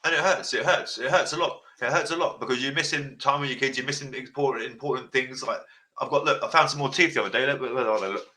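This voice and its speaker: A man hosting a podcast, giving blunt advice in casual chat with a deep voice, swearing freely and repeating himself. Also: fast talker. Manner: passionate, sounds like shouting. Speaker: podcast host.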